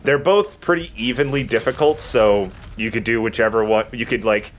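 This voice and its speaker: A man's voice: medium pitch, normal speed, a little hiss or hum. A man hosting a let's play, chatting casually and jokingly as he plays. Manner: joking, rambling. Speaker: let's play host playing the game. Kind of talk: casual gameplay commentary chat. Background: video game music.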